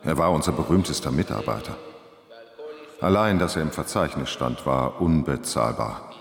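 A man's voice: rumbling low, expressive, normal speed, creaky, full character voice. A man in a radio drama playing a mature, self-important and bewildered institute director, speaking formally and reflectively. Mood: bemused. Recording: echoey hall, long reverb.

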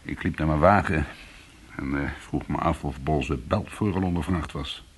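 An actor playing a weary, defensive but soothing ex-convict in a radio drama, with a husky voice. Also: male voice, rumbling low, unhurried, exaggerated voice. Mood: rueful. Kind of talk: radio drama acting and first-person narration.

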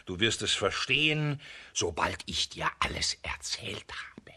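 An actor playing a humble but sly old discharged soldier with a rough voice and deferential manner in a children's radio play. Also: male voice, fairly low pitch, very animated, unhurried, sharp tone, in a whisper, heavily acted. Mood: hushed, warning. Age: older. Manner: servile, deferential, chuckling.

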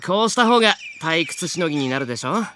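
A man's voice: on the high side, expressive, quick pace, bright, forceful tone, a little hiss or hum. A man voicing a mischievous male fairy who teases two brothers in a polite, smug way, in a drama CD. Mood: teasing. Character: mischievous male fairy guide, teasing and playful. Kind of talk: anime drama CD acting.

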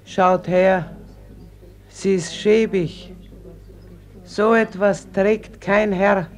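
A middle-aged fisherwoman in a radio drama, grieving yet resolute. She speaks extremely slowly and deliberately, with stark, embittered gravity. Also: mid-range voice, fairly even intonation. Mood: bitter anger. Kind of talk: German radio play acting.

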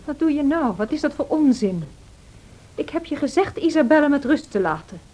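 A tempestuous young wife in a radio play. Her high voice swings widely and takes its time, theatrical and full of self-pity, over a slightly noisy old recording. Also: animated, warm tone. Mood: reproachful, tense. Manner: theatrical, emotional, slow and deliberate. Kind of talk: radio drama acting.

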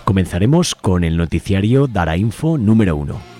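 A man's voice: low voice, very animated, normal speed. A man with an accent from Spain hosting a live community radio show in an informal, chatty way. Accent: Spanish from Spain. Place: radio studio. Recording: music playing under the voice. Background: music.

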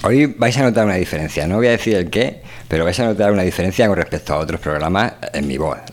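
A man's voice: deep voice, animated, fast. A man with a Castilian Spanish accent hosting a radio show in casual, slangy, rambling chat. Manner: slangy, rambling, unfiltered delivery.

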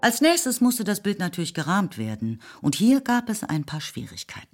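A woman's voice: medium pitch, sing-song, moderate pace, a little mellow. A woman giving scripted literary narration as the narrator of a radio drama. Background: faint music.

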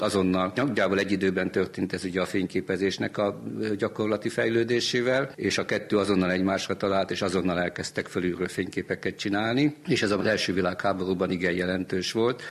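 A man giving detailed, halting expert explanations in an interview, often correcting himself. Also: low-pitched. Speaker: interviewed military map historian.

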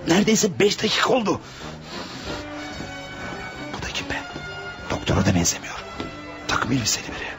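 A man's voice: a little high, huge pitch swings, moderate pace, long pauses, music in the background. An actor playing a nervous male criminal lookout who mutters tensely in a radio play. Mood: panicky. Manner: tense, muttering commentary on what he watches. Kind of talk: Turkish radio play acting.

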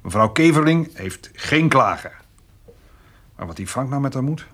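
A man's voice: mid-range voice, animated, moderate pace, fairly bright tone, husky. An actor playing a brusque, wily man in a radio drama. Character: brusque, wily man scheming around bankers.

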